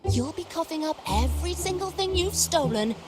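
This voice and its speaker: An actress playing a stern, righteous shopkeeper in a video game, prim, clipped and scolding. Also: female voice, extremely high pitch, expressive, normal speed, very noisy. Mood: outraged.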